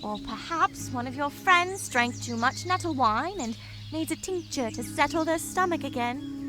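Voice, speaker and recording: A woman's voice: high voice, expressive, moderate pace, over music. A woman voicing a prim, fussy female rabbit healer in a fantasy game, chatty and lecturing with a condescending manner. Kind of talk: visual novel voice acting.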